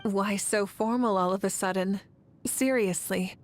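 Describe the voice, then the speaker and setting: A woman's voice: mid-range voice, fairly even intonation, measured pace, faint music behind, close to an everyday voice. A woman playing a composed, confident warrior leader in a video game. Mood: moved. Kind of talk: video game character acting.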